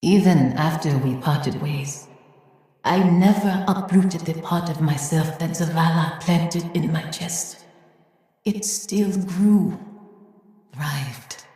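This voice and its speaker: A woman voicing a wise, reflective older guardian in a video game, with a measured, wistful and earnest manner. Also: low voice, dark tone. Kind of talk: dramatic video game monologue. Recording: very echoey, long reverb tail.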